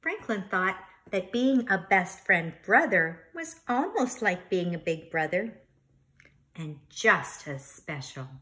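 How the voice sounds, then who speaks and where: Woman doing storytime for kids, slow and expressive, voice goes up and down a lot. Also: lowish voice. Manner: expressive, instructional, pausing to comment. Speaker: teacher reading a storybook aloud. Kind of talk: read-aloud children's storytime narration.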